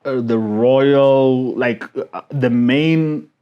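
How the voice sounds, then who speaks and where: A man, a podcast guest talking casually and telling stories with lively animation. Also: medium pitch, extremely slow, clear, resonant tone, muffled recording. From South Africa. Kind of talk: casual conversation.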